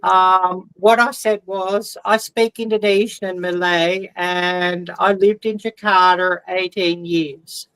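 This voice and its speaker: Casting: woman, guest on a video call, slow and halting, dry close sound. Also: fairly low pitch, fairly even intonation, clear, resonant tone, no room sound, rough recording. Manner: hesitant, many ums, soft trailing. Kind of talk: casual video call chat.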